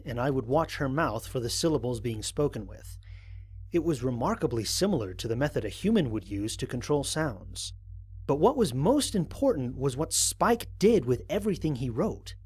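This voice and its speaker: A man playing an observant, analytical space explorer, narrating formal mission logs in a measured, precise way for an audio drama. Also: mid-range voice, a little mellow, breathy edge. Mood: curious, wry. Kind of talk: scripted first-person audio drama narration.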